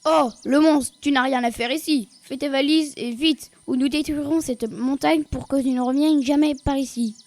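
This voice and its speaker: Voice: sits high, steady tone, moderate pace, few pauses, a little mellow. A child performer playing a stern, threatening soldier in an amateur audio drama. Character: stern soldier ordering a monster to leave.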